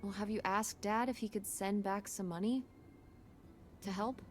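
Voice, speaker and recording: A woman's voice: mid-range voice, steady tone, normal speed, faint music behind, close to an everyday voice. A woman playing an earnest, pushy teenage daughter in a naturalistic video game drama, questioning in a blunt, plaintive way. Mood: accusing, hurt.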